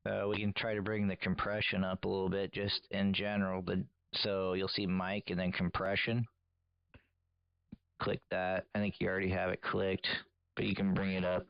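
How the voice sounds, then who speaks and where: Low, creaky male voice for a patient radio hobbyist talking someone through settings, close and dry. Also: completely flat delivery, normal speed, no echo, lo-fi sound. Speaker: CB radio operator coaching another on audio settings. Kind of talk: casual technical instruction.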